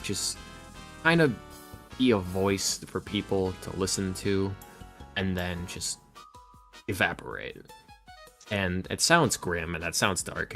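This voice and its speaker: A man's voice: low-pitched, fairly bright tone. A man speaking as a podcast guest in a casual, reflective way, hesitant and self-deprecating as he rambles candidly. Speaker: podcast guest, ASMR roleplay audio creator. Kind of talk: casual reflective interview answers.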